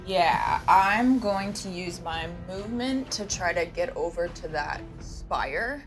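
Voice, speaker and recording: A woman's voice: fairly low pitch, animated, slow pace. A woman playing a tabletop role-playing game, talking casually through her moves. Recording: background music under the voice.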